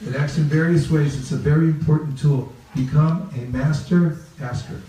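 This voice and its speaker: Echoey, mellow-voiced man teaching a group. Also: fairly high pitch, fairly even intonation, moderate pace, a little hiss or hum. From California. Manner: playful, asks the audience questions and repeats key phrases. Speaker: religious teacher leading a training talk. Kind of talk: interactive seminar teaching. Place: reverberant room with a live group. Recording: echoey room, picked up from a distance.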